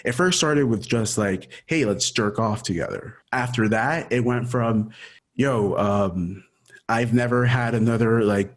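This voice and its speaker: A man's voice: medium pitch, a little slow. A man in his thirties, born in Ecuador and raised in Miami, talking through a personal story as an interview guest, casual and full of "dude" and "like.". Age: 30s. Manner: casual, says dude and like often.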